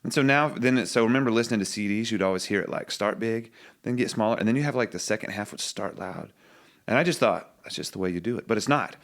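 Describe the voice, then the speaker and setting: A man with a very low, deep voice talks as a songwriter guest on a podcast. He muses his way through ideas, circling back and restarting as he thinks out loud. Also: quick pace. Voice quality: low singing voice. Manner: thoughtful, musing, loose restarts. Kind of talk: reflective podcast conversation.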